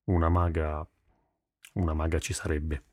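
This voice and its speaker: A man voicing a gruff, protective armourer father in an audiobook, deep-voiced, halting and reluctant in manner. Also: no room sound, studio quality, natural voice. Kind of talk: audiobook character voice.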